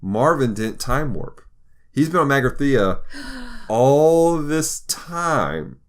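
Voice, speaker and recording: A man hosting a casual pop-culture talk, retelling scenes in an animated way and slipping into quoted voices. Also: medium pitch, slow pace. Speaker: podcast host.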